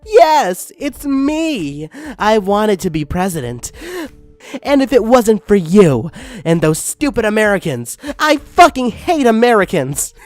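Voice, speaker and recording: A man putting on a bitter gang-leading villain for a comic story. The voice is pitched well up and loud, ranting over music. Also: very animated, a little slow, no echo. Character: ranting villain leader of a militant gang, later unmasked.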